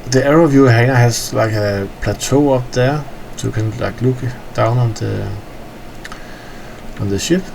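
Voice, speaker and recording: Tired Danish man with a gravelly voice. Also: mid-range voice, measured pace, darkish tone, a little hiss or hum. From Denmark. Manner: rambling, hesitant, with frequent fillers. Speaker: gamer touring his in-game hangar. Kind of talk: casual game walkthrough commentary.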